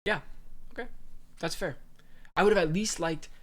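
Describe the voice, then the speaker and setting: A man's voice: high-pitched, normal speed. Congested young man hosting a gaming podcast. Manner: animated, reads news aloud. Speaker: podcast host. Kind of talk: casual gaming news chat.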